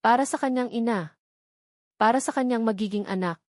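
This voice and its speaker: A woman's voice: on the high side, fairly quick, no echo. Filipino woman narrating a dramatic story, dry close sound. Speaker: audiobook narrator.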